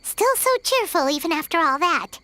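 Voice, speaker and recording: A woman voicing a tiny, excitable, childlike companion in a fantasy game, exclamatory and childish. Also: very high-pitched, moderate pace, a little hiss or hum, exaggerated voice. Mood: amazed. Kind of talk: scripted fantasy game dialogue. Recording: loud music behind the voice.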